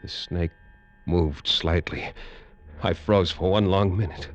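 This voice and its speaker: A man's voice: deep voice, steady tone, takes it slowly. An actor playing a tough, wary man who narrates his adventure in terse, hard-boiled 1940s radio style. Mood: tense, brooding. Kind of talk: 1940s radio acting, first-person noir narration. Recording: dull vintage radio recording, faint music.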